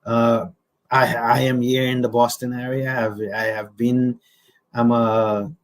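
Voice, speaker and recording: A middle-aged man from Haiti, an executive director answering interview questions, hesitant with many pauses and restarts. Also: mid-range voice, little pitch movement. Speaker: guest, nonprofit executive director.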